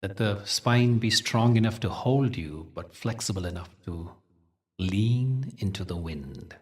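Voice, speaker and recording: A man leading a guided meditation as a teacher, telling spiritual stories in a gentle, measured, soothing way with deliberate pauses. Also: on the low side, expressive, distant mic.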